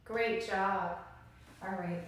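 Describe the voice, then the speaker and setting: A woman teacher leading an interactive children's lesson, encouraging and prompting with questions and pauses, recorded in an indoor room. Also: medium pitch, fairly even intonation. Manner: encouraging, instructive, prompting listeners to respond. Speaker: early-grade classroom teacher leading circle time. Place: indoor room with strong echo. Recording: very echoey room, distant microphone.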